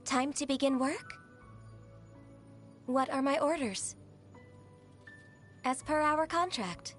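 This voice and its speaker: An actress voicing a gentle, dutiful young woman adventurer in a fantasy video game, polite and earnest. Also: high voice, slow. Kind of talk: video game character voice lines. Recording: game audio with music underneath. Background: background music.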